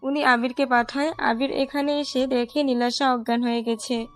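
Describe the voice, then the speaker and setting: High-pitched woman narrating a story. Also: flat delivery, moderate pace, faint music behind. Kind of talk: scripted romantic story narration.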